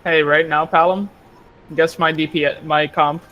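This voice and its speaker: Higher-pitched man in casual gamer chat. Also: fairly even intonation, a little slow, muffled recording. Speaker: gaming friend on voice chat. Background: game music.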